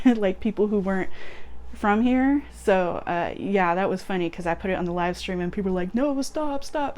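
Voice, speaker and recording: A woman journalist casually telling the story behind her footage, relaxed and amused. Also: medium pitch. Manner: relaxed, amused, chuckles while recounting.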